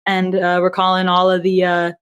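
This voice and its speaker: A woman's voice: fairly low pitch, monotone, normal speed, smooth. A woman being interviewed on a podcast, talking reflectively with frequent laughs and fillers. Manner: warm, reflective, laughs often, many fillers. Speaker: audio drama writer and director, podcast guest. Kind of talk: casual interview answers.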